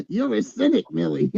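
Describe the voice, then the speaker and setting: Woman playing a creaky-voiced folksy farm worker. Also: medium pitch, dark tone, no echo, dull audio, full character voice. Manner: chuckling, easygoing. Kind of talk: amateur staged play reading.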